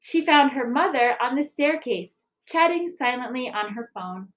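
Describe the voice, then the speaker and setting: A woman in an expressive, deliberate read-aloud style, like school staff sharing a picture book and news with families. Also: sits high. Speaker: school staff member reading aloud and presenting a recipe book project. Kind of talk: children's storybook read-aloud and school announcement.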